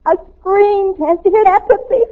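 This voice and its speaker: A woman's voice: very high voice, steady tone, moderate pace. A woman playing a dramatic, starstruck, self-important American teenage girl in a 1940s radio sitcom, gushing and theatrical. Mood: gushing, excited. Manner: gushing, theatrical, grandly affronted. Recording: tinny old radio recording.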